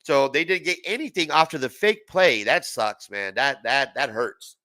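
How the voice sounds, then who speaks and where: A man's voice: medium pitch, normal speed, sharp tone, dry recording. A man in his forties, congested from a cold, giving loose, profane, casual play-by-play commentary on a live stream. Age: 40s. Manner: loose, profane, chatty, laughs at his own jokes. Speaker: sports watch-party streamer.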